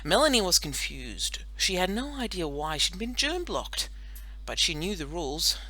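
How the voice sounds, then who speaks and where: A woman narrates a story for children. Her voice is very bright and crisp-edged, and her pitch moves around in a lively way. The delivery is playful and dramatic. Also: moderate pace, poor audio. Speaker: audiobook narrator.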